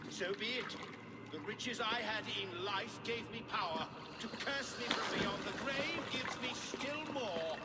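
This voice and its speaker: An actor playing a fanatical, vengeful leader of a secret order in a video game, declaiming grandly and defiantly. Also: male voice, sits high, lively intonation, a little slow, very noisy. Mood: bitter defiance. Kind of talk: dramatic video game cutscene acting.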